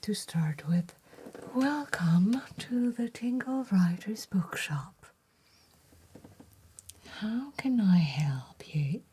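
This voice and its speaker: A woman playing a gentle, courteous bookshop letter-writer in an ASMR roleplay, whispering breathily with slow, formal, deliberate phrasing. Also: medium pitch, expressive, a little hiss or hum. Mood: welcoming, hushed.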